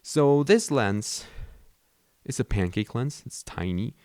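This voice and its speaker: A man's voice: mid-range voice, expressive, slow pace, mellow, no room sound. A young man from Mexico whose first language is Spanish, rambling casually about his camera gear with many fillers and restarts. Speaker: vlogger.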